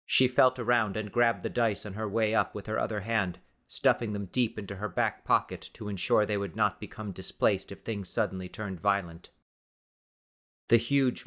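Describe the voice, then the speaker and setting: Low-pitched man narrating an audiobook. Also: a bit bright. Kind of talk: scripted dramatic story narration.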